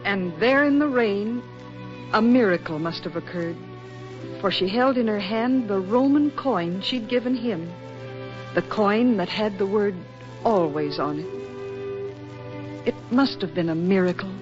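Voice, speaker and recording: A woman's voice: medium pitch, sing-song, measured pace, pauses often, music in the background. Demure, hesitant young woman. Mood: wistful awe. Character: shy, reserved, lonely young working woman. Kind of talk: 1940s radio drama acting. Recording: muffled old 1940s radio recording.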